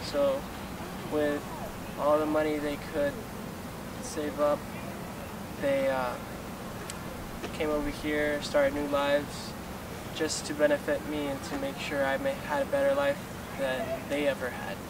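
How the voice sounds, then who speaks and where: Earnest young man amid loud street noise. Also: on the high side, big pitch swings, unhurried, vocal fry, long pauses, recorded from a distance. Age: young adult. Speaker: student interviewee. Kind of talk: casual street interview.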